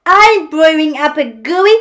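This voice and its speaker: A woman's voice: high-pitched, animated, takes it slowly, a bit bright, pitched above the performer's own voice, exaggerated voice. A woman voicing a boastful, gleeful male dingo from a storybook, exclaiming in an exuberant, sing-song way. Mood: delighted, boastful.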